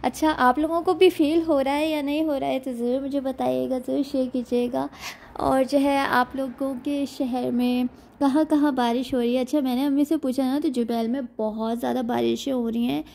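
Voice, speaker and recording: A woman's voice: high voice, fairly even intonation, normal speed, creaky, darkish tone, a little hiss or hum. A young woman, narrating her day in a chatty voice-over and leaning on a lot of "aur jo hai" fillers. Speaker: lifestyle vlogger. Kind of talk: casual voice-over narration of daily life.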